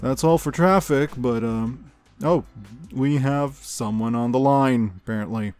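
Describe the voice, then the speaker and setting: A man playing an unflappable local radio news anchor, reading parody bulletins in a measured, deadpan announcer delivery. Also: medium pitch, no room sound, soft background music, natural voice. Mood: composed, dry. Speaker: host. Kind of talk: scripted news broadcast parody.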